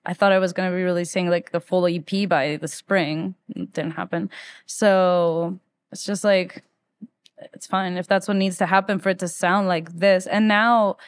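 A woman's voice: on the low side, fairly even intonation, normal speed, smooth. A woman from Ecuador, around thirty, telling stories casually as a musician being interviewed, with "like" sprinkled through her speech. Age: late 20s to 30s. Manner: informal, peppers speech with "like". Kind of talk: casual conversational storytelling.

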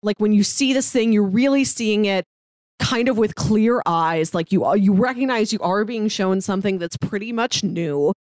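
Woman podcast cohost, mid pitched, chatting casually, explains stuff with emphasis, really dry sound. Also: expressive, moderate pace, darkish tone, no room sound. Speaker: podcast co-host. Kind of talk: casual TV discussion chat.

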